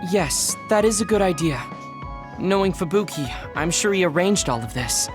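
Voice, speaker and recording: A young, level-headed platoon member from a video game. He speaks politely and earnestly, setting out his reasoning over clearly audible music. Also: sits high, lively intonation, moderate pace. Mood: calm, earnest.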